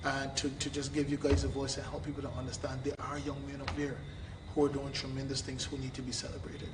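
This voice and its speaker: A man hosting a talk show in a casual, lively way, laughing often and repeating his words. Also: mid-range voice, fast. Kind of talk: casual interview chat. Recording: echoey room, mic at a distance, noisy.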